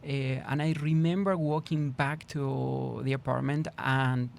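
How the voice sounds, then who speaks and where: A man's voice: mid-range voice, steady tone, measured pace, vocal fry, a little mellow, a little hiss or hum. A middle-aged man from Argentina with an Argentine Spanish accent, a guest therapist on a podcast who often repeats words as he searches for the phrasing. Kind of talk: podcast interview.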